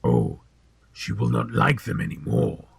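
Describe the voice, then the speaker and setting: A man playing a sly, sinister old potion seller in an audio drama, with a gravelly, husky voice and a deliberate, insinuating manner. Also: medium pitch, sing-song, exaggerated voice.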